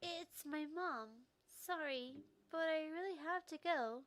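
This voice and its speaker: A woman's voice: sits high, takes it slowly, fairly bright tone, voice pushed up. A woman voicing a distressed, pleading young woman in a visual novel, flustered and urgent.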